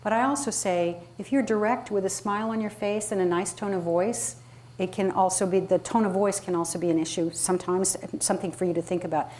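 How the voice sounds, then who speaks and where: A woman presents a live workshop as a speaking coach in a medium-pitched voice. She is conversational and emphatic, often turning to the listeners with questions. Noise from the room is clearly audible around her. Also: fairly even intonation, brisk, a little mellow. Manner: emphatic, conversational, asks the audience rhetorical questions.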